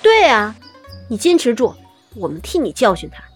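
A woman's voice: on the high side, wildly swooping pitch, moderate pace, breathy edge, faint music behind, exaggerated voice. An actress playing a bossy, fiery woman of ancient China in a period audio drama, with a scolding, theatrical manner. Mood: scolding, teasing.

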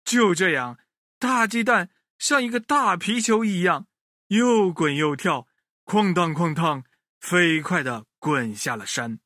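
A man telling a children's story in an expressive, animated way, speaking directly to young listeners. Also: high-pitched, slow pace, no room sound, studio quality.

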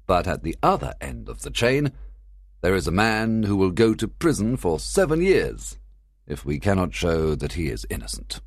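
A man's voice: sits low, sing-song, moderate pace, no echo, clean studio sound, exaggerated voice. A man voicing a confident, courteous, shrewd detective with a polite, theatrical delivery in a storybook reading. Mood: assured. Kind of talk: acted audiobook dialogue.

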